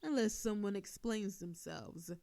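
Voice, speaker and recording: A woman's voice: mid-range voice, vocal fry, warm tone, slight background noise. A woman playing a sardonic telepathic supervillain in a scripted audio drama, with a theatrical, teasing way of drawing out her words. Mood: mocking, menacing. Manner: theatrical, taunting, drawn-out words. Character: sardonic female telepathic supervillain, menacing yet softening.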